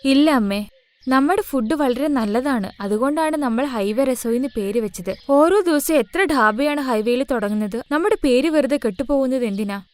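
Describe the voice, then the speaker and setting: A woman's voice: sits high, animated, moderate pace, darkish tone. A woman voicing a devoted, hopeful young daughter-in-law in a cartoon moral story. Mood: hopeful, reassuring.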